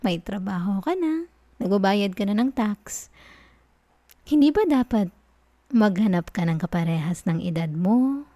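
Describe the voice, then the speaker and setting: A woman doing a slow, teasing flirty professor. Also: mid-range voice, animated, no echo. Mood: playful teasing. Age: adult. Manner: teasing, soft-spoken, chuckles often. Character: flirty, dominant female university professor, teasing. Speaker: ASMR roleplay performer. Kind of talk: ASMR roleplay.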